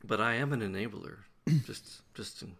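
A man co-hosting a podcast in casual chat, halting and trailing off mid-thought. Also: mid-range voice, a bit bright, slight background noise. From American South. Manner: hesitant, trails off mid-sentence. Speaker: podcast co-host.

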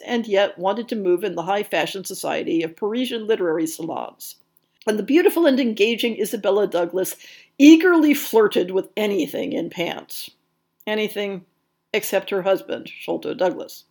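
A woman's voice: fairly high pitch, a little mellow. A woman hosting a podcast, reading a scripted history essay in an articulate storytelling way with wry asides. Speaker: podcast host.

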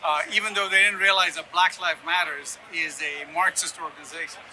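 A man's voice: fairly high pitch, steady tone, fairly quick, background noise. A man from Ghana and East Africa giving opinionated political explanations in an interview, often checking in with "right?". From born in Ghana, grew up in Sudan, Yemen and Ethiopia. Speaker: interview guest, conservative activist.

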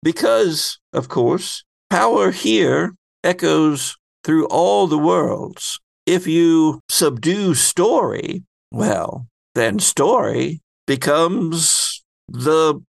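A man voicing a cryptic, deliberate woman storykeeper. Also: fairly high pitch, animated, creaky, no room sound, clean studio sound, full character voice. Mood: explanatory, knowing. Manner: theatrical, deliberate, philosophical. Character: Phoebe, cryptic grandiose female keeper of stories.

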